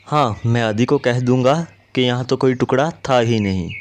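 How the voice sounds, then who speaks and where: A man's voice: mid-range voice, moderate pace, slight background noise, natural voice. A man voicing a theatrical male bird friend in a children's cartoon. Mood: scheming. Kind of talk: Hindi children's cartoon voice acting.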